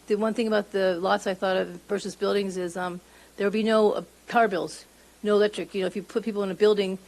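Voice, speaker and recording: Woman, public comment, hesitant, frequent fillers, medium pitch. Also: darkish tone, rough recording. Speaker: public commenter at city council.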